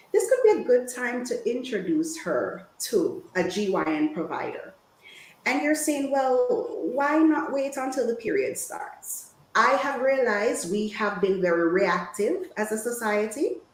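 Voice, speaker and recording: Woman speaking in an echoey room. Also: a little high. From Jamaica. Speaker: guest women's health speaker. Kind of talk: informal health talk to an online audience.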